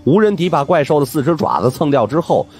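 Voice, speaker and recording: Husky, highly animated male storyteller. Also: quick pace, faint music behind. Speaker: audiobook narrator. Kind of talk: dramatic scripted narration of a supernatural mystery.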